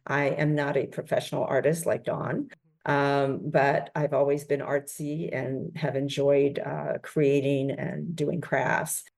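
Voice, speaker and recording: Low, gravelly-voiced earnest woman. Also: level tone, slow pace, a little mellow. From United States. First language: Spanish. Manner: earnest, reflective storytelling with fillers. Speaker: nonprofit founder. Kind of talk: podcast interview answer.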